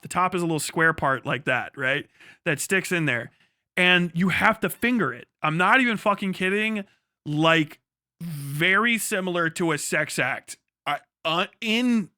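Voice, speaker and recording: A man livestreaming in a casual, crude, profanity-laced storytelling style as he explains things in an animated way. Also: high-pitched, no room sound, studio quality. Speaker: livestream host.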